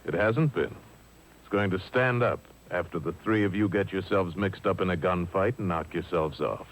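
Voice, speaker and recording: An actor playing a cynical, cold-blooded killer pilot in a 1950s radio mystery, curt and threatening. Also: male voice, low-pitched, normal speed, low-quality recording. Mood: defiant. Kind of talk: 1950s radio villain acting. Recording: hissy old radio broadcast on cassette.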